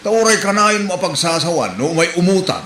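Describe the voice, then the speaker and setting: A man's voice: sits high, sing-song, a little fast, a bit bright. A man playing an angry, fed-up, sarcastic husband with an Ilocano accent in a comedy drama. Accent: Ilocano Filipino. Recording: roomy echo, clear background noise.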